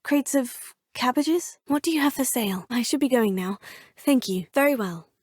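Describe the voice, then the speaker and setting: An actress voicing a young, curious, polite witch student in a game, speaking in a husky, often half-whispered voice in formal phrases. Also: high voice, lively intonation, normal speed, a little mellow, no echo, clean studio sound. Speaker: player character, a student. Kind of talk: game voice lines.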